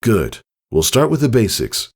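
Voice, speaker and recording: A man's voice: mid-range voice, huge pitch swings, a little slow, husky, pitched above the performer's own voice, exaggerated voice. A man voicing a caring, patient pegasus father in a story reading, coaching his child in an earnest, encouraging way. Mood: solemn, instructive. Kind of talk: dramatized storybook character reading.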